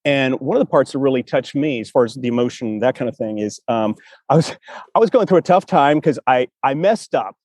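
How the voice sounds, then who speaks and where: A man's voice: medium pitch, animated, a little fast, darkish tone, no echo. A man hosting a casual conversation, telling personal stories in an earnest, emotive way. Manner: earnest and emotive, acts out remembered dialogue. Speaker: podcast host and audio drama writer.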